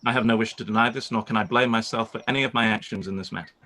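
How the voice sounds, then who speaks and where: An actor playing a proud, reserved young gentleman reading out a formal letter in a measured, stiff manner. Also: lowish voice, steady tone. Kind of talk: live-read radio play.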